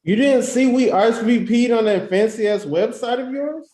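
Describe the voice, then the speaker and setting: A man playing an excitable, positive friend in his early thirties in a screenplay table read, slangy and enthusiastic. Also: very high-pitched, normal speed, reverberant. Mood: excited.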